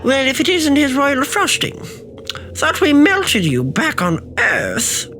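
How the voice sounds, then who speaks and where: A woman's voice: high voice, wildly swooping pitch, slow, exaggerated voice. A woman playing a feisty, stubborn old grandma in a sitcom-style radio play, folksy and brash. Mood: wry, proud. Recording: clearly noisy recording.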